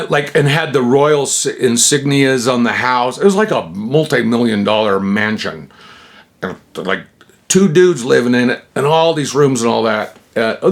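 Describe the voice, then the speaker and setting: A man's voice: medium pitch, gravelly. Man, 60s, from Utah. Rambling vlogger-storyteller, self-interrupting, chuckly, slangy. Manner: tosses in slangy asides.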